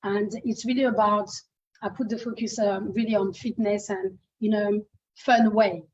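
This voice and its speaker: A woman's voice: mid-range voice, fairly even intonation, normal speed. A woman with a French accent introducing herself as a fitness instructor in an online meeting, hesitant with many fillers. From France. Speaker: Zumba instructor. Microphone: video call. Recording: muffled video call audio.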